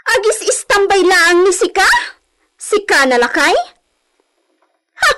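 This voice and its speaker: Woman acting a shrill, haughty Filipino senorita. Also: lively intonation, slow pace, full character voice. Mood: scornful, scolding. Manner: imperious, scolding, sharp exclamations. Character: haughty, bossy young rich senorita berating servants. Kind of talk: Filipino radio drama acting.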